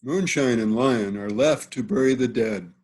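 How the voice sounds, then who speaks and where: A man's voice: medium pitch, a little slow, darkish tone, husky. A man playing a witty, mocking nobleman in an amateur Shakespeare table read, with dry teasing delivery over a video call. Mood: teasing, lordly. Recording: home video call recording.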